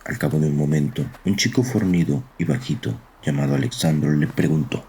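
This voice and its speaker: A man's voice: a bass voice, lively intonation, brisk, gravelly, dark tone, slight background noise. A man narrating a scripted dramatic story as its storyteller.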